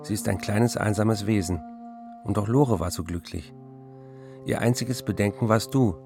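An actor playing a troubled, self-indulgent writer in a radio play, confessing his excesses in a matter-of-fact, gravelly way. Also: on the low side, normal speed, music in the background. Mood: confiding. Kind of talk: radio play narration and letter reading.

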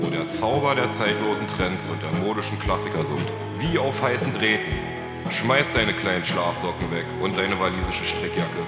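A man acts a gushing, lovestruck cloth merchant with a deep, low voice. He declaims boastfully in radio-play style, echoing in a big hall over loud music. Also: close to an everyday voice. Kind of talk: theatrical radio play dialogue.

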